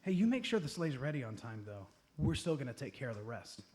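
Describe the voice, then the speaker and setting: A man playing an excitable, dim but earnest amateur detective in a live comedic radio play, theatrical and exclamatory. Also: medium pitch, fast, natural voice. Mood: earnest, sheepish. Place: comedy club stage before a live audience. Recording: some noise, live stage recording.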